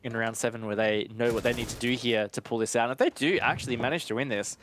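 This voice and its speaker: A man with a medium-pitched voice offers analytical esports commentary. He talks in a measured, conversational manner over faint background noise. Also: a bit bright.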